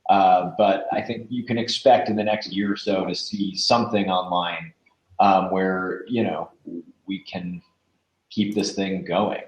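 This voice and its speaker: Low-voiced man in a roomy, muffled recording. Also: fairly even intonation, measured pace. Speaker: podcast creator, interview guest. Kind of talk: casual interview chat. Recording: roomy echo, dull lo-fi sound.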